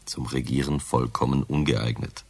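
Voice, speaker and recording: A man's voice: rumbling low, steady tone, normal speed, gravelly, darkish tone, husky, heavily acted. A man playing a nervous, disdainful senior town official in a radio crime drama, brusque and dismissive.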